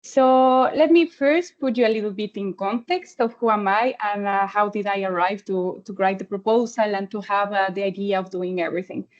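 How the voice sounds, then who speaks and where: A woman's voice: medium pitch, steady tone. Mexican-accented woman presenting over a call. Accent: Mexican Spanish. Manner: friendly, halting, many fillers. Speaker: postdoctoral researcher giving a testimonial. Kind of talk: online presentation. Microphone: video call. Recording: dull lo-fi video call audio.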